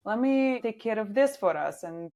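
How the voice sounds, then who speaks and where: A woman's voice: fairly high pitch, animated, moderate pace, dark tone. A young woman from Slovenia with a Slovenian accent, chatting casually as an interview guest and often saying "like.". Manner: chatty, frequent "like" fillers. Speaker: guest, social media manager.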